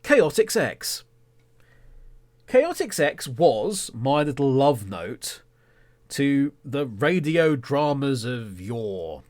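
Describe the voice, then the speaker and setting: A man's voice: medium pitch, sing-song, drawn-out words, a little mellow. A man hosting a podcast in casual solo talk, with a rambling, self-deprecating and mock-indignant humour. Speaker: podcast host.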